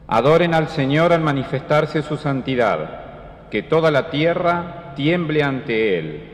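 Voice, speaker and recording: Measured older man reading at Mass. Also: on the high side, expressive. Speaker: lay reader at Mass. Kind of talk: solemn scripture reading. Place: large brick church. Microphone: lectern gooseneck microphone. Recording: echoey church, long reverb.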